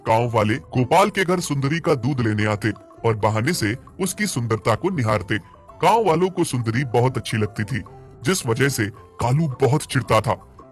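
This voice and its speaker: A man's voice: medium pitch, expressive, normal speed. A man narrating a scripted animal fable for a cartoon moral story. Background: music.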